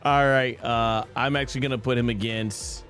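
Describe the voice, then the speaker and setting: A man streams a video game in casual chat, thinking aloud as he goes. His voice is medium in pitch, with soft music underneath. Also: fairly even intonation, normal speed. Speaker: streamer and amateur voice actor.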